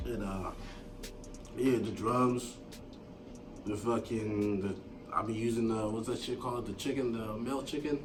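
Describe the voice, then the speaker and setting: A low-pitched man, picked up a little away from the mic over clear music. He talks in a loose, laid-back, rambling way, full of slang and swearing, as a producer being interviewed. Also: fairly even intonation, measured pace, long pauses. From born in Kenya to Somali parents, moved to America at eleven. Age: 20s. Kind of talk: casual, slangy interview chat. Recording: distant mic with music behind.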